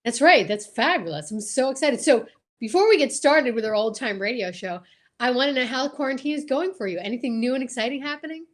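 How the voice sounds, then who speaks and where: Woman, slightly high voice, excitable, exclamatory, effusive, chatty co-host banter. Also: brisk. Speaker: co-host of an online comedy show. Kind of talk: casual chatty live show banter.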